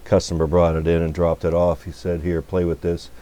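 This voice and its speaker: A man's voice: low-pitched, monotone, a little fast, mellow. A man giving an unscripted, hands-on product demo, thinking aloud in an off-the-cuff way. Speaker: radio repair bench presenter. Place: electronics repair workbench. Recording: noisy recording with electrical hum.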